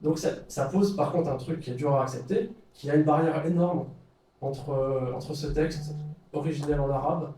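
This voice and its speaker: A man's voice: a little high, normal speed, warm tone, faint music behind. Hesitant man lecturing in an echoey room. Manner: hesitant, many fillers and restarts. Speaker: speaker leading a study circle. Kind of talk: informal lecture with questions. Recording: echoey room, far from the mic.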